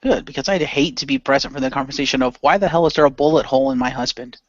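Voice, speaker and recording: A man's voice: medium pitch, very fast, no room sound, lightly acted. A man playing a sardonic, injured hero in an amateur radio play, with a dry, sarcastic way of talking. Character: wry, sardonic injured male hero team member. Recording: dry, dull lo-fi voice track.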